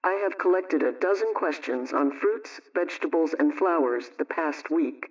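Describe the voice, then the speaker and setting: Male radio advice presenter, scripted delivery, fairly high register, muffled lo-fi broadcast sound. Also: moderate pace. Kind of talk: scripted radio question-and-answer reading. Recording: dull, distant, band-limited radio sound.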